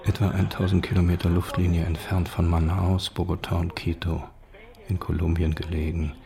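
A very low-voiced man reads documentary narration. His delivery is sober and factual, with a flat, level intonation. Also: moderate pace, vocal fry. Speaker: narrator reading documents and facts.

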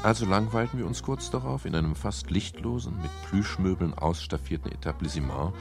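A man playing a world-weary private detective in a radio crime drama, speaking with a dry, wry manner and a gravelly, husky voice. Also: deep voice, lively intonation, brisk, over music. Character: world-weary male private detective narrating his case. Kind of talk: 1970s German radio crime drama, first-person narration and dialogue.

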